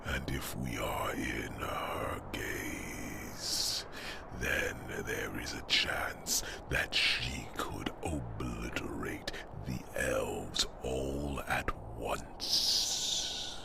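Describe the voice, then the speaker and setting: A man voicing an ancient, wise male dragon who protectively mentors the listener, speaking in a slow, solemn whisper for a fantasy roleplay. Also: rumbling low, creaky, heavily acted.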